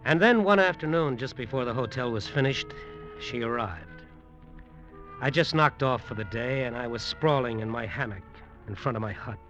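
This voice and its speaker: An actor playing a brash, cocky, short-tempered hotel developer in slangy, emphatic old-time radio drama style. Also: male voice, medium pitch, expressive, normal speed, highs cut off, close to an everyday voice. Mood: hard-bitten narrating. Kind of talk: old-time radio drama acting with first-person narration. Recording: vintage radio drama, music behind.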